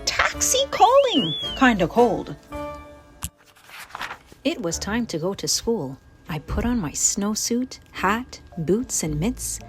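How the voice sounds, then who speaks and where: A woman reads a picture book for children in a lively, swooping voice. She sits on the high side and takes her time, playfully stretching the descriptive words. Music plays clearly behind her. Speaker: children's read-aloud host. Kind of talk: animated children's storybook narration.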